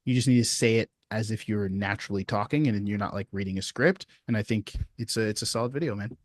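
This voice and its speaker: A man hosting a group call as a sales coach, giving relaxed, encouraging feedback with a casual manner. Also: on the low side, fast talker, dry recording, clean studio sound.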